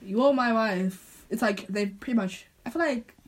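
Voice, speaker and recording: A woman's voice: on the high side, measured pace. Young woman, frank and dry, podcast co-host. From Zimbabwe. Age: young adult. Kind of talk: casual chat.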